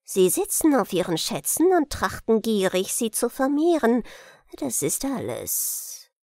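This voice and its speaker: A woman voicing a sly, haughty gnome king in a fairy tale, coaxing with slow pomp and breathy, whispered touches. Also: fairly high pitch, sing-song, warm tone, dry recording, clean studio sound. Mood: coaxing, condescending. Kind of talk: fairy-tale audiobook reading.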